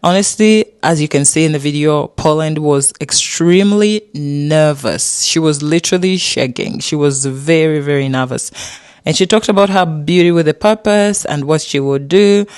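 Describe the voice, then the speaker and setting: Ugandan guy chatting about pageant answers, kinda high voice, dry close sound. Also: moderate pace, clean tone, dry recording. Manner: chatty, repeats words, fillers. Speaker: pageant reviewer. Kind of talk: casual commentary.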